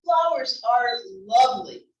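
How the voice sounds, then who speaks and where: A woman's voice: high voice, expressive, measured pace, dull audio. A woman reading the part of a stylish, teasing, affectionate wife in her seventies in a comedy table read. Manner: wry, teasing. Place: library room reading before an audience. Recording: echoey room, distant mic.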